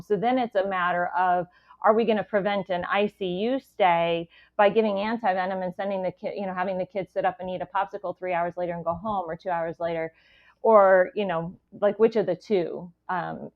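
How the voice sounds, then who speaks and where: A woman's voice: fairly low pitch, fairly even intonation, a little fast. An American woman explaining things casually and plainly as a medical expert guest on a podcast. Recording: thin phone-like line.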